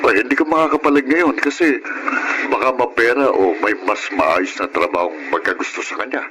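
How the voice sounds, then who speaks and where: An actor playing a blunt, teasing drinking buddy in a radio drama, talking in a familiar, insinuating way. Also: male voice, mid-range voice, a little fast, noisy background, lightly acted.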